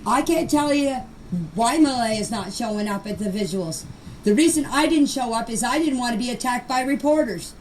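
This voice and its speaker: A woman's voice: on the high side, a little mellow. A middle-aged or older woman speaking off the cuff in voice messages, defensive and insistent, often stumbling and repeating herself. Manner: halting, stumbling over words and names. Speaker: member of an online group chat sharing voice messages. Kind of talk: casual unscripted voice messages. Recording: noisy amateur voice message recording.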